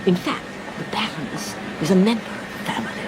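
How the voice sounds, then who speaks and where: An actress playing a kindly older English governess in a radio drama, effusive, fussy and eager to confide. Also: female voice, fairly quick, close to an everyday voice. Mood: kindly, confiding. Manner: effusive, fussy, calls the listener "my dear". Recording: train rumble and noise behind.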